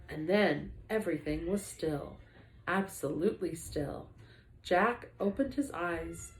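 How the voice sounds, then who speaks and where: A woman reading a children's book aloud like a teacher, with expressive, dramatic emphasis. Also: fairly low pitch. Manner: animated, dramatic emphasis for young listeners. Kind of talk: expressive storybook read-aloud. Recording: echoey room.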